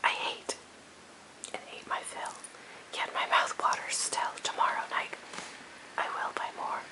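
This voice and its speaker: A woman voicing a sweet-fierce, impulsive maiden from a storybook poem in a breathy, eager whisper. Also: a little slow, natural voice, poor audio. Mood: enraptured craving.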